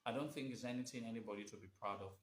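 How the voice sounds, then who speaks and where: A man's voice: medium pitch, very fast, a little hiss or hum. A man hosting a live stream, talking off the cuff, hesitant and rambling, with many fillers and restarts. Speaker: host of a live video show on history. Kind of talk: unscripted live-stream talk. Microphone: phone or webcam during a live stream. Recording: echoey room.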